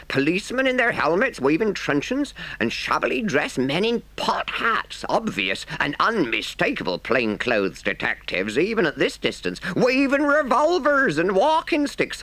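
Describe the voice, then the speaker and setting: Man doing a plain-spoken, kindly engine driver, wide-swinging pitch. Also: a bit bright, no room sound. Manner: plain-spoken, emphatic, folksy. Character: kindly, stern, working-class male engine driver. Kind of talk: storybook character voice.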